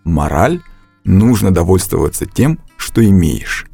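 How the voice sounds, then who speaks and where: A man narrates a language lesson in a slow, measured way, his pitch rising and falling freely as he explains. His tone is dark and mellow, recorded dry and close with faint music underneath. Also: very animated, gravelly, husky, dry recording. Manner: slow, deliberate instructional delivery.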